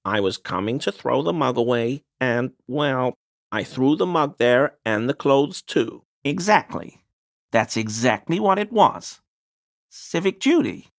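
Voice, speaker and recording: A man's voice: mid-range voice, lively intonation, unhurried, vocal fry. A male actor playing a nervous, apologetic townsman in a video game, pleading and hesitant as he answers to the police. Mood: defensive, explaining. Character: nervous, grovelling small-time bigoted townsman under police questioning. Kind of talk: scripted video game character dialogue.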